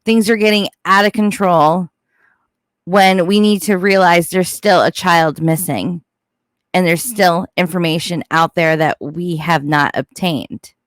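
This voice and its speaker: A woman's voice: on the low side, fairly even intonation, unhurried, no room sound, studio quality. A woman giving casual, opinionated true crime commentary in a blunt, informal way.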